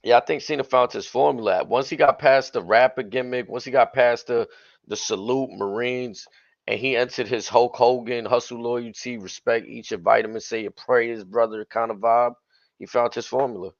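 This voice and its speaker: A man co-hosting a casual wrestling talk stream, his voice a bit hoarse and raspy from yelling, with relaxed slangy banter. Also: mid-range voice, moderate pace, dry recording. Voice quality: slightly raspy. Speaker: wrestling show co-host. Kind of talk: casual livestream chat. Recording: dull dry lo-fi stream audio.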